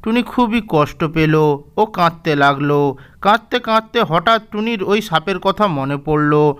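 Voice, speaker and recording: Clear high-voiced male storyteller. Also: expressive, measured pace, fairly bright tone. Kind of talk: scripted storybook narration.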